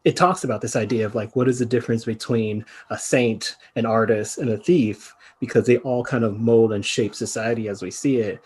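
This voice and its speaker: A man's voice: medium pitch, fairly even intonation, fairly quick, hardly any pauses, slightly rough. A man, the playwright on the panel, talking reflectively and often hedging with "kind of".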